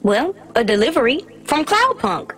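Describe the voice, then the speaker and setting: An eager young child in a game drama. The voice is high and animated, chatty and anxious to please, over faint music. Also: female voice, moderate pace. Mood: excited. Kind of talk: video game character acting.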